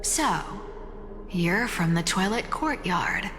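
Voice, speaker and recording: A woman's voice: fairly low pitch, lively intonation, takes it slowly, background noise. A woman voicing a playful, roguish game character, breezy and confident, with a flippant teasing drawl. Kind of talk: video game character acting. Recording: long reverb, music behind.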